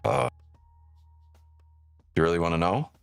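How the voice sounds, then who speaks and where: A man's voice: deep voice, a little slow, gravelly, clear, resonant tone, dry recording, faint music behind. A man born in Ukraine hosts a live trading stream, chatting casually and with profanity as he reads chat aloud, often tagging on "right?".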